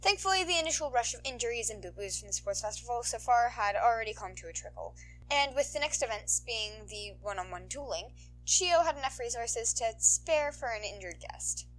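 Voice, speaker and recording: A woman reading fan fiction aloud as an audiobook narrator, in an expressive scripted storytelling style. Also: on the high side, fairly quick, rough recording.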